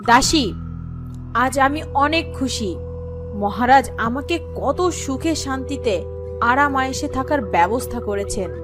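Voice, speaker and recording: Haughty fairy-tale queen, spoiled and self-satisfied, very lively high delivery. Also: slow pace, music in the background. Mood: smug. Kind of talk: Bengali fairy-tale cartoon acting.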